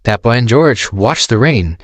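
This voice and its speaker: A man narrating a children's storybook aloud in an expressive, sing-song way, close to the mic. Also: medium pitch, a little slow, no room sound.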